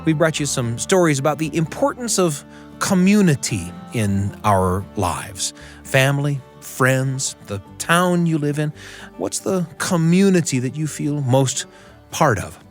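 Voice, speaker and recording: A man's voice: on the high side, lively intonation, unhurried, soft background music. An American man hosting a radio show, reading scripted narration.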